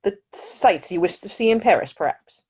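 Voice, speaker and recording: A woman's voice: mid-range voice, expressive, normal speed, dark tone, slightly rough, voice pushed up. A woman voicing a miserable, scowling young English nobleman in an audiobook, with a curt, grumpy and formal manner. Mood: queasy, stiff.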